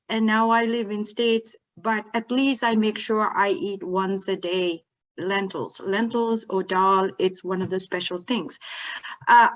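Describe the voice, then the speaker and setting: A woman's voice: on the high side, fairly bright tone. A woman from Sri Lanka, a researcher, opening her talk at an online workshop. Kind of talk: online presentation. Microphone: video call. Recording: muffled video call audio.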